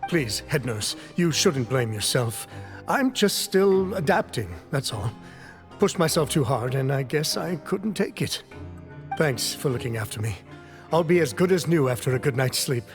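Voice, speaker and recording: A man's voice: mid-range voice, lively intonation, moderate pace, lightly acted. A man voicing a nervous, apologetic young inmate who talks hesitantly with small stammers in a game cutscene. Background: game soundtrack music.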